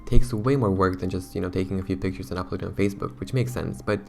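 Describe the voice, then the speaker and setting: A young man talks to his viewers in a loose, rambling, self-conscious way, his deep voice rough and hoarse. There's a gravelly fry under his words, and faint music plays behind him. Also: steady tone, fast, a little mellow. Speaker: YouTuber. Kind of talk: casual talk to camera.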